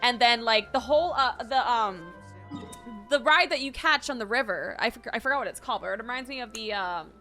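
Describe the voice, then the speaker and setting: A young American woman from Florida chatting casually as she streams a game, bubbly, expressive and rambling. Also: on the high side, brisk. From Florida, United States. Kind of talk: casual reaction chat while gaming. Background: soft game music.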